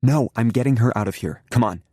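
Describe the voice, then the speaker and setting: Protective, firm woman in a crisis, voiced by a man, soft-spoken but urgent, dry booth sound. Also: medium pitch, brisk, slightly rough, dry recording, pitched above the performer's own voice, lightly acted. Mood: curt, determined. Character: protective determined adult woman, calm under pressure. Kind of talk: audiobook character voice.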